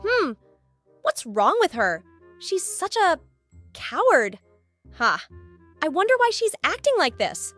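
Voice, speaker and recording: A performer voicing a childlike cartoon cat in a comic dub, squeaky, anxious, grumbling and snarky, with music behind. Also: wildly swooping pitch, slow pace, pauses often, a bit bright, exaggerated voice. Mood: scornful. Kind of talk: comic dub character acting.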